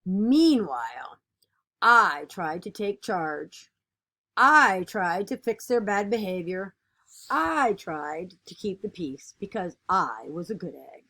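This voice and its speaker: A woman voicing an earnest, dutiful egg from a children's picture book, with expressive and deliberate storytelling. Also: medium pitch, exaggerated voice.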